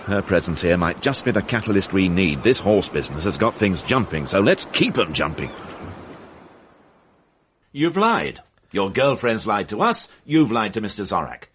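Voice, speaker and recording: Stern, deep-voiced police inspector. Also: male voice, sing-song, moderate pace, a little hiss or hum. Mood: probing, brisk. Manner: commanding, probing, clipped threats. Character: stern, shrewd male police inspector grilling suspects. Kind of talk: radio mystery drama acting.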